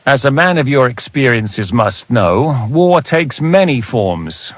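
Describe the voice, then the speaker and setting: An actor playing a wry, battle-hardened mercenary captain in a game, speaking in a measured, world-weary way. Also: male voice, medium pitch, big pitch swings. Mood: measured, grim. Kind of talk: dramatic game dialogue.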